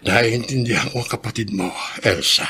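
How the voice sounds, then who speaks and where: A man's voice: on the low side, normal speed, pushed and bright, breathy edge, exaggerated voice. An actor playing a father, by turns harsh or remorseful, in a Filipino radio drama. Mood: weary, remorseful. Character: harsh abusive father in flashback, frail repentant old man later.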